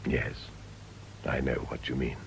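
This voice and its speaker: A man's voice: extremely low pitch, monotone, a little slow, vocal fry, slight background noise, exaggerated voice. A British man acting a wry, irritable wealthy middle-aged husband in a radio drama, with a dry, sardonic manner.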